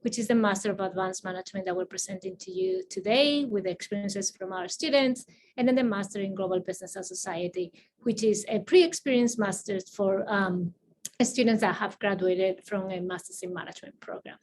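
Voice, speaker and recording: A woman with a Spanish accent moderates an online panel over a video call. She talks quickly, with frequent fillers and restarts. A faint noise sits behind her voice. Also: mid-range voice. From Spain. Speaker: assistant dean moderating a panel.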